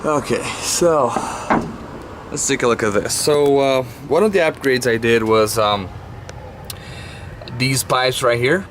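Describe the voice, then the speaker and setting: Brazilian-accented man, non-native English, hesitant car vlogger, mid pitch, unhurried delivery. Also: noisy background. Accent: Brazilian Portuguese. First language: Portuguese. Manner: hesitant, many ums and uhs, searching for words. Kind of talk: casual car walkaround. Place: around a parked car.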